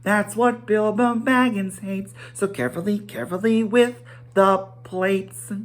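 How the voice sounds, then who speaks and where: A man's voice: high-pitched, steady tone, measured pace, pitched above the performer's own voice. A man singing as mischievous, boisterous dwarves in a rhythmic, teasing storybook song.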